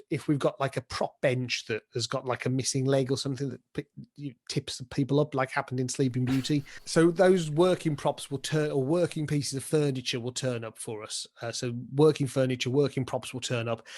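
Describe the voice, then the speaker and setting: A British man speaks as an interview guest in a casual, chatty way. He thinks aloud as he explains practical details step by step, sometimes voicing little asides. His pitch is mid-range for a man. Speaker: pantomime dame and director, interview guest.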